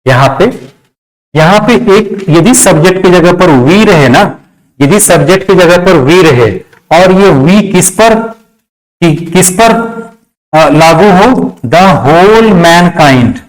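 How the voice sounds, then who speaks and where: A man's voice: sits high, animated, slow, rough recording. A man teaching a grammar lesson step by step, repeating phrases and asking himself check questions. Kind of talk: classroom lesson with step-by-step explanation.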